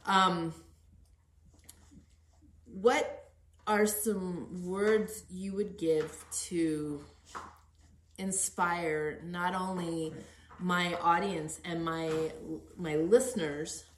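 A woman's voice: lowish voice, steady tone, very slow, slight background noise. A middle-aged woman hosting a conversational podcast interview in an earnest, encouraging manner. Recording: roomy, echoey sound.

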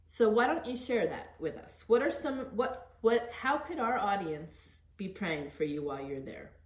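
A woman hosting an informal podcast interview, gently prompting and encouraging. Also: medium pitch, animated, moderate pace. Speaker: podcast host. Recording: roomy echo.

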